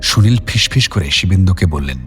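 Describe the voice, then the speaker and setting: A man's voice: low-pitched, expressive, fairly quick, soft background music. A man narrating a scripted audio story as the narrator of a drama.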